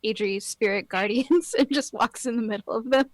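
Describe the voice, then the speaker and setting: A woman with a somewhat high voice plays at a tabletop game, asking the game master questions in a hesitant, giggly way. Her sound is dry and close, with no room around it. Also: moderate pace, dry recording. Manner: giggly, hesitant, lots of likes. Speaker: player. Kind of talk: casual tabletop game play.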